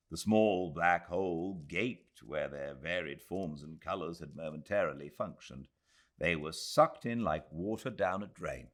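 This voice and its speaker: British male narrator, low voice, lively delivery. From Britain. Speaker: audiobook narrator. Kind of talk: expressive literary narration.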